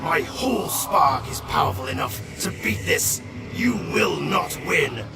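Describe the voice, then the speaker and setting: Man as a menacing robot warlord, slow and gravelly, strained and dramatic, distant under loud music. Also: on the high side, very animated, full character voice. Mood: desperate defiance. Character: tormented, menacing male robot warlord fighting for control. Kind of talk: animated-series radio drama acting.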